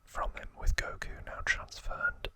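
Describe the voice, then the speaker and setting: An ASMR host whispering a casual recap in a halting way, with asides. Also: bright, forceful tone.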